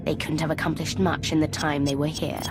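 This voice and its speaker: An actress playing a proud, vengeful imperial daughter in a dramatic, menacing video game cutscene. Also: female voice, low-pitched, flat delivery, brisk, very noisy, natural voice. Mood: cold fury. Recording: loud music behind. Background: music.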